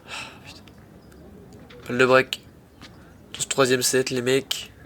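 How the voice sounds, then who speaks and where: A young man with a head cold, casually commenting on his own tennis match in slangy, excitable talk to his viewers. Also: medium pitch, unhurried, slight background noise. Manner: slangy, excitable, talks to viewers as "les mecs".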